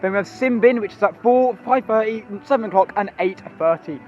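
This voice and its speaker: A hoarse young man, chatty and rambling as he walks through a theme park with his phone. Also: sits high, a little fast, muffled recording, soft background music. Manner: chatty, rambling, excitable, many ums. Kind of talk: walking vlog commentary.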